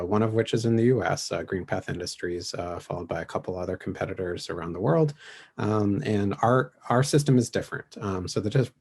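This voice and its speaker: A man with a low, creaky voice delivers a team pitch. His tone is husky rather than clean, and he moves through his points haltingly, with hesitant phrasing. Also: darkish tone. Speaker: student team presenter. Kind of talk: semi-rehearsed pitch presentation.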